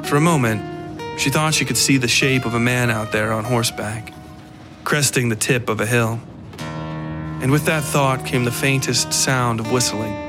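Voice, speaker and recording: A man reading scripted horror and mystery narration as a storyteller, with music behind him. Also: medium pitch. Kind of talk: scripted narration of dark fiction.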